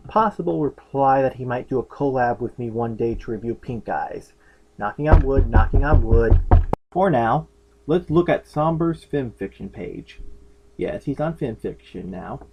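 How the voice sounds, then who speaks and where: A man's voice: medium pitch, a little mellow, breathy edge, poor audio. A man hosting a fan fiction review, narrating in a chatty, opinionated way with enthusiastic, casual comic asides. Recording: music behind the voice. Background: background music.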